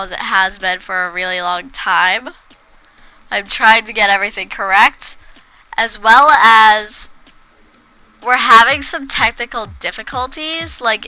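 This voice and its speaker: Bright-voiced congested woman narrating gameplay. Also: mid-range voice, low-quality recording. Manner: rambling, with frequent restarts and filler. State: congested from a cold. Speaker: gaming video creator. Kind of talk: casual voice-over commentary on gameplay.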